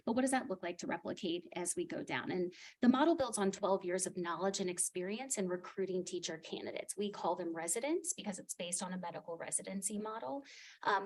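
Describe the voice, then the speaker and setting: A soft-spoken woman reading prepared remarks in a formal presentation to a committee, heard on a distant mic in a meeting room. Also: mid-range voice. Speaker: program presenter.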